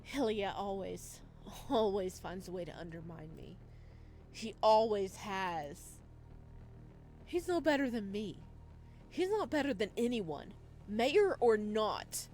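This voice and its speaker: A woman's voice: mid-range voice, big pitch swings, slow, a little mellow, faint music behind. A woman playing an arrogant, unhinged male crime boss in a visual novel, emphatic and incredulous as they swear. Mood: bitter.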